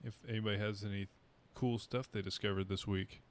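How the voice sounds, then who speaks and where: A man's voice: on the low side, steady tone, a little fast, slight background noise. A man co-hosting a casual podcast, chatting in an easygoing, upbeat way. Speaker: podcast co-host.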